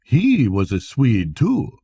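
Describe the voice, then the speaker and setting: A man voicing a bitter, watchful Swedish steamer captain in a storybook reading, speaking precisely and deliberately. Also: medium pitch, wildly swooping pitch, warm tone, breathy edge, dry recording, studio quality, voice pushed up, exaggerated voice. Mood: bitter, wry. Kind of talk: storybook character dialogue.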